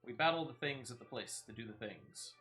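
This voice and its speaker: A man with a bright, forceful voice at an average pitch streams a video game. He talks in a casual, conversational way, rambling from one thought to the next with a playful streak. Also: animated, brisk, soft background music. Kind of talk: casual gameplay commentary with chat.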